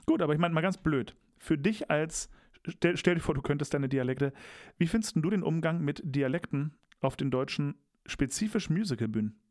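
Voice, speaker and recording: A man's voice: fairly high pitch, animated, normal speed, darkish tone, dry recording, clean studio sound. A young man co-hosting a casual chat, enthusiastic and rambling, teasing his co-host. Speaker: podcast co-host.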